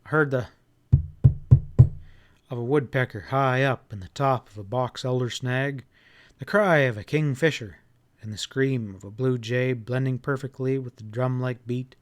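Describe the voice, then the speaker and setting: A man reading an audiobook as a first-person narrator, in an expressive, folksy storytelling manner. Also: mid-range voice, moderate pace, faint music behind.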